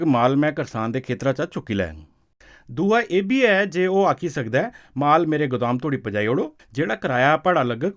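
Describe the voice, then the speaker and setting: A man with a Dogri accent explains in a conversational, instructive way for an educational film, often checking that he is understood. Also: on the high side. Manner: didactic, often seeks confirmation with short check questions. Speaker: expert explaining a farm law to farmers.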